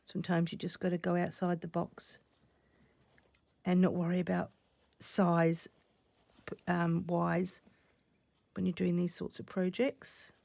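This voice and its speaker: A woman recovering from a cough, chatting casually while she stitches, often dropping to soft half-whispers as she thinks aloud. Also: lowish voice, completely flat delivery, measured pace, vocal fry, long pauses, no echo. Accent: Australian. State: croaky from a cough. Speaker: stitching channel host. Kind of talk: casual crafting vlog chat.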